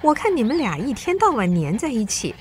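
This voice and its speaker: An actress in a radio drama playing a boastful, teasing French society wife with coy, theatrical, drawn-out exclamations. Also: female voice, on the high side, big pitch swings, a little hiss or hum.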